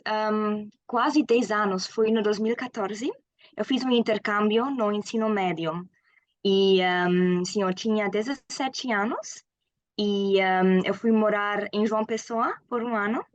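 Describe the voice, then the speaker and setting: A woman's voice: medium pitch, slow pace, no echo. German woman speaking Portuguese, hesitant. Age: 20s. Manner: hesitant with frequent fillers, laughs often. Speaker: guest. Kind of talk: casual interview.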